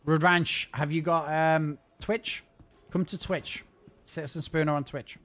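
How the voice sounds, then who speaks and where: A man's voice: fairly high pitch, steady tone, a bit bright. A man chatting casually and rambling while live-streaming a game from home. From north of England. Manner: chatty, rambling, fast-talking. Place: home gaming setup.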